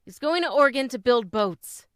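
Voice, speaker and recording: A woman playing an earnest, flustered young mother with a halting manner in an old-time radio drama. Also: on the high side, animated, no room sound, clean studio sound, close to an everyday voice. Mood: flustered, defensive.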